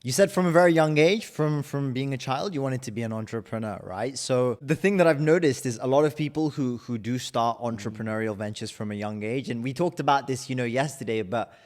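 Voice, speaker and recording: A man hosts a podcast with an eager, enthusiastic manner, repeating words as he gets each question going. His voice sits at an ordinary male pitch and rings with a long hall reverb. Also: fairly quick, a little mellow. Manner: enthusiastic, repeats words when starting sentences. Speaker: podcast host. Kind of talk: interview questions, casual chat.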